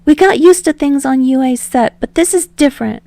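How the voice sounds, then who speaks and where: A woman's voice: a little fast, gravelly, no room sound. A woman voicing an earnest, nerdy young man with a light voice and a nervous, rambling manner, as in an audiobook. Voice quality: high, light voice. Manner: rambling, anxious, gently insistent. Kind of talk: audiobook character dialogue.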